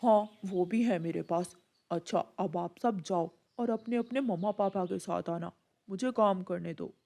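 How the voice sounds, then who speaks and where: Lady doing a kindly pigeon uncle running a shop, cartoon voice pushed low, matter-of-fact. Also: a little slow, creaky, dark tone. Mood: businesslike.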